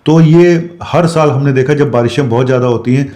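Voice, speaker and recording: Mellow-voiced doctor explaining health tips. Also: male voice, medium pitch, a little fast. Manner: explanatory, addresses viewers directly with frequent fillers. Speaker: doctor giving health advice. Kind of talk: direct-to-camera health talk.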